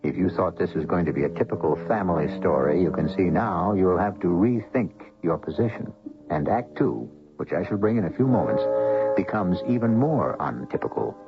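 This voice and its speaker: Casting: brooding mystery host, very low voice, swooping theatrical phrasing, deliberate and wry, old radio sound with music. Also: male voice, expressive. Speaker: host and narrator. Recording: dull vintage radio recording, music underneath.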